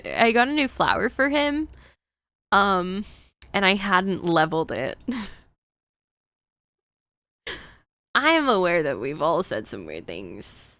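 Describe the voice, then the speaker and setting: A woman's voice: medium pitch, a bit bright, clear, resonant tone, dry recording. A woman streaming a game, chatting casually to her viewers in a rambling way with frequent fillers. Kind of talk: casual chat while gaming.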